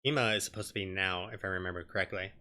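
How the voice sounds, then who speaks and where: A man's voice: low-pitched, fairly even intonation, rapid-fire, sharp tone. A man giving casual, informal commentary as he streams a video game. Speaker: let's play streamer.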